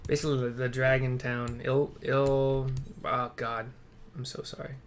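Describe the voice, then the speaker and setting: A man's voice: mid-range voice, little pitch movement, unhurried, slight background noise. Rambling man doing casual game commentary. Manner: rambling, muttering asides, swears. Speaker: gamer narrating a playthrough.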